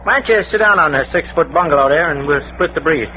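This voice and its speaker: An actor playing a dim, chatty working-class guard, slangy and rambling, in an old-time radio comedy mystery. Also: male voice, fairly high pitch, quick pace, lightly acted. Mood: breezy, boastful. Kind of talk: old-time radio comic character acting. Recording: dull, noisy vintage radio recording.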